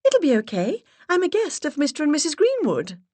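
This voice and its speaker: A woman narrator voicing a giggly woman who puts on a high, ditzy, exaggerated English-accented act. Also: wildly swooping pitch, brisk, dark tone, no room sound. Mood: gushing, coy. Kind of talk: audiobook character voice.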